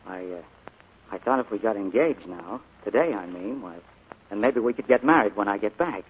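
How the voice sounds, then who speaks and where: A man's voice: on the low side, expressive, husky. A man playing a bashful young man in love in a 1940s radio drama, speaking hesitantly. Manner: hesitant, halting. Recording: narrow 1940s radio broadcast.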